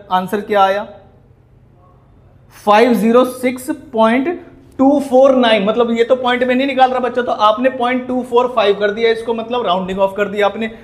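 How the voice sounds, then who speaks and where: An Indian man teaching in an explanatory commentary style, scolding and indignant, with emphatic rhetorical questions. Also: extremely high pitch. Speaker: accounting teacher. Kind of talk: explanatory video commentary on exam answer sheets.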